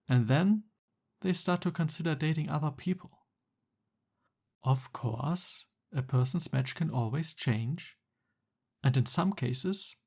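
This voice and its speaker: A man's voice: mid-range voice, creaky, a little mellow. A man playing a jealous, exasperated young Cupid best friend in a conversational boyfriend roleplay ASMR.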